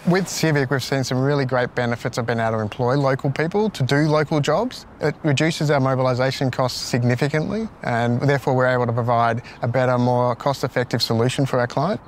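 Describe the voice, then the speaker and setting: Brief: manager outdoors on site, confident, faint ambience. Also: male voice, mid-range voice, a little fast, hardly any pauses, slight background noise. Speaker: company manager.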